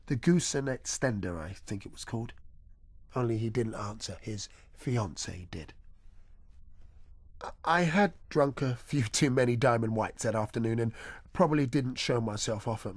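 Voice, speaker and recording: A man playing a nostalgic, washed-up supply teacher, telling his story as a deadpan scripted comic monologue. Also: medium pitch, normal speed, no echo, lightly acted. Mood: rueful, deadpan.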